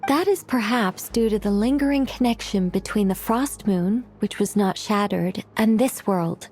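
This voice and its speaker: An actress voicing a learned, composed young woman recounting ancient lore in a video game, measured and scholarly. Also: mid-range voice, darkish tone, a little hiss or hum, natural voice. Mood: solemn, explanatory. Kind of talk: scripted game dialogue.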